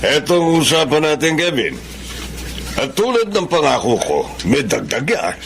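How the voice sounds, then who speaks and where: An actor playing a jovial, scheming, generous company boss in a radio drama, hearty and coaxing. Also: male voice, on the high side, very animated, unhurried, slight background noise. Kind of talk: Filipino radio drama acting.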